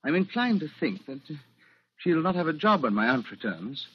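An actor playing a suave, sardonic villain with polished, formal speech in a 1940s radio drama. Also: male voice, a little high, big pitch swings, normal speed, slightly rough. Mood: scornful. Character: suave, scornful male villain hiding stolen money. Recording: dull, muffled old radio recording.

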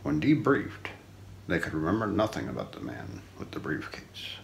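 Man, middle-aged, ASMR mystery narrator, solemn, low-pitched, faint background noise. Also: sing-song, moderate pace, vocal fry. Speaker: narrator of an unsolved-mystery story. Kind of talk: ASMR scripted narration, true-crime documentary role play. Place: small indoor room.

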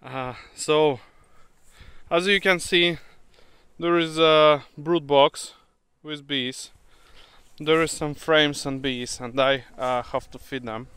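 A non-native English-speaking man, a beekeeper, demonstrating outdoors in a grassy field and talking haltingly with many fillers. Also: fairly high pitch, steady tone, a bit bright, smooth, dry recording. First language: not English. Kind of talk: hands-on demonstration. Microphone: handheld camera mic. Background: bees buzzing.

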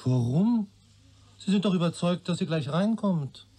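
A man's voice: sits high, expressive, measured pace, warm tone, slightly rough, exaggerated voice. An actor playing a cheeky police assistant, a man with a dry, clipped way of talking in a radio play. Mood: dry, teasing. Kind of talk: radio drama dialogue.

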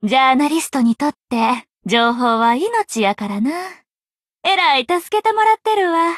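Lilting, high-pitched young Kansai journalist. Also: female voice, expressive, normal speed, no room sound, clean studio sound. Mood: teasing, coquettish. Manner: soft, coquettish, lilting dialect with playful teasing. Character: teasing, breezy young female journalist and playful liar. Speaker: game voice actor. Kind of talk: Japanese anime game voice acting.

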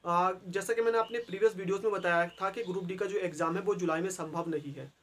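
Young male host, high voice, earnest and repetitive, to-camera in a small room. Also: fairly even intonation, fairly bright tone. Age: young adult. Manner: earnest, repetitive, many filler pauses. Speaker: exam-news channel host. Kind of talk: explanatory talk to camera. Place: small indoor room by a brick wall.

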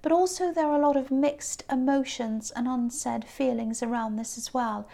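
Woman, high voice, tarot reader, hesitant, lots of ums, slightly croaky, speaking over a tabletop card spread. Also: fairly even intonation, normal speed, dark tone. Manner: hesitant, many ums and restarts. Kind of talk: unscripted tarot reading.

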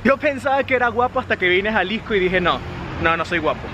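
High-voiced Venezuelan man vlogging outdoors. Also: animated, quick pace, lo-fi sound. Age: young adult. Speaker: travel vlogger. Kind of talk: casual narration to camera. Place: outdoor town plaza. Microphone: camera at arm's length. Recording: noisy outdoor street vlog.

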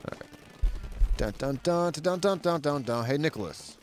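A young man with a very deep voice casually commenting on his game, laid-back and swearing a lot. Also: animated, normal speed, soft background music. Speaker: game streamer. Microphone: studio mic with bass roll-off.

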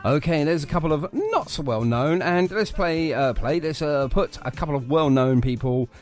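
A man's voice: fairly high pitch, animated, normal speed. A British man hosting a live radio show with casual, chatty, jokey talk. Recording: music playing behind the voice.

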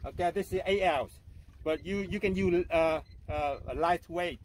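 A man hosting a casual instructional fishing vlog out on a small open boat, explaining haltingly with repetition and frequent check-ins. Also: a little high, a little hiss or hum. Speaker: host of a fishing channel. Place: small open motorboat out on a bay.

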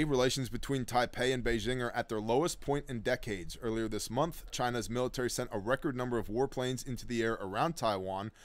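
A man's voice: medium pitch, dry recording. A middle-aged man hosting a preparedness show, reading news articles aloud and breaking in with blunt, sarcastic remarks, recorded on a desk webcam. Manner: sardonic, blunt asides between read passages.

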